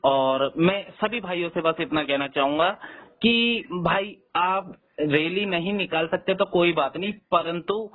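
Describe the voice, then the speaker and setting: A man talks over a tinny, narrow phone connection. His voice is on the high side. He is polite and agreeable, phrasing his points with some hesitation. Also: a little slow. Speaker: caller, community youth organisation leader.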